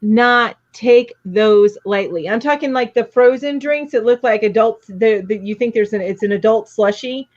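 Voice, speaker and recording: A woman's voice: on the high side, moderate pace, hardly any pauses, a little mellow, muffled recording. A woman co-hosting a live crochet show over a video call, chatting casually, laughing often and greeting viewers by name. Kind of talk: casual chat on a livestream.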